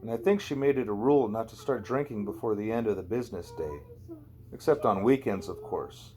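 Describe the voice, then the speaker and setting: A man's voice: on the low side, a little fast, dark tone. A man narrating a first-person novel as an amateur audiobook reader, in a conversational, wry storytelling way.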